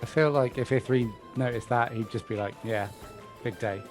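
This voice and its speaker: Male player, mid-range voice, offhand table talk, music clearly audible. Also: medium pitch, normal speed. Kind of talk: casual table chat, describing his character's reactions. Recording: music playing behind the voice.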